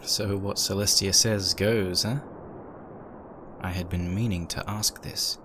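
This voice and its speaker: A man acting as the brooding, uneasy narrator of a dark horror audio drama, reading in a dramatic scripted style. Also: low voice, steady tone, takes it slowly. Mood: uneasy, hesitant. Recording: noisy, with background ambience effects.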